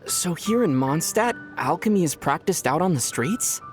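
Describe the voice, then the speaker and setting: An actor playing a calm, dry young male traveler from other worlds in a video game. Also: a little high, normal speed, lightly acted. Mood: wry. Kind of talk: video game dub dialogue. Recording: game audio with soft music behind.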